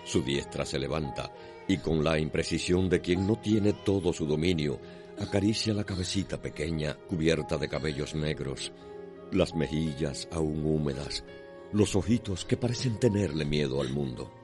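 A man's voice: rumbling low, normal speed, music in the background. A man with a Cuban accent narrating a radio drama in a solemn, lyrical, dramatic manner.

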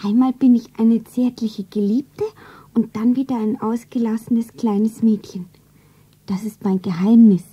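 A woman playing a dreamy, rapturous young shopgirl in love in a stylised 1970s radio play, breathy and slow. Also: fairly high pitch, steady tone, dark tone. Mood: rapturous, hushed. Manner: breathy, slow, self-narrating. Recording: dull vintage radio-play recording.

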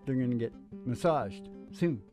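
A middle-aged man hosting a music show with playful, unhurried, rambling stage patter full of joking asides. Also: mid-range voice, lively intonation. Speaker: host of a music and comedy show. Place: small dim curtained stage room. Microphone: stand microphone. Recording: guitar playing behind the voice. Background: acoustic guitar.

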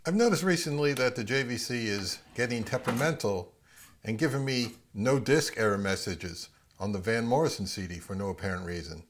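A man's voice: mid-range voice, animated, fairly quick. A middle-aged man, narrating a product review of audio gear.

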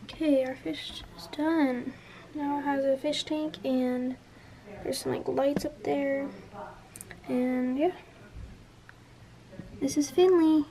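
A young woman vlogging casually to her viewers, her voice raspy from a sore throat, filming on a handheld camera. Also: sits high, steady tone, slow pace, pauses often, a crowd in the background. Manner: chatty, upbeat, talks to viewers. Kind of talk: casual vlog chat. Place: car, bedroom, outdoors. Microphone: handheld camera at arm's length.

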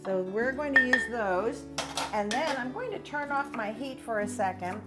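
A woman's voice: fairly high pitch, normal speed, loud music behind the voice. A woman in her sixties, a chef, demonstrating a breakfast recipe step by step in a home kitchen on a lapel mic. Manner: instructive, steady walkthrough of steps. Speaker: cooking segment chef. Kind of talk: cooking demonstration.

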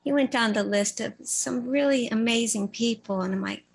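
A middle-aged woman with a soft voice giving an informal dharma talk in a meditation hall into a stand mic, halting and full of fillers. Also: fairly high pitch, gravelly, slightly rough. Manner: halting, with many fillers and restarts. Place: meditation hall shrine room, indoors. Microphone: stand mic in front of her.

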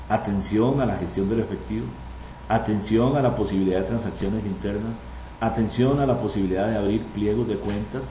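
A man's voice: fairly low pitch, warm tone. A man who is a compliance trainer, talking through a slide presentation of his course. He lists topics with emphasis and corrects himself as he goes. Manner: self-correcting, emphatic listing. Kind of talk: screen-recorded course walkthrough. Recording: roomy with faint background noise.

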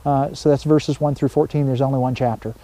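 A middle-aged man speaking as a pastor, giving an informal sermon to camera in a conversational way with pauses mid-thought. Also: medium pitch, steady tone, dark tone, no echo, rough recording. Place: outdoors in a wooded backyard.

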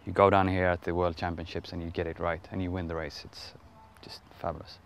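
A man with a Swedish accent, a riding team trainer, speaking enthusiastically in an interview and trailing off softly at the ends of phrases. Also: sits low, level tone, a little fast, clean tone. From Sweden.

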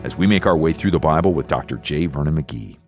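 Very deep-voiced radio announcer. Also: male voice, loud music behind the voice. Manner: measured, reverent, unhurried. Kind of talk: scripted announcing and prayer.

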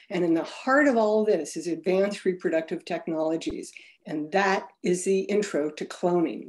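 A woman co-founder of a conservation group giving a measured, polished webinar talk. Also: on the low side, darkish tone. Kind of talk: webinar presentation.

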